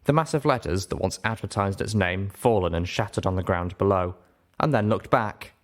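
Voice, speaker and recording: Low-voiced man narrating a story. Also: normal speed. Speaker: audiobook narrator.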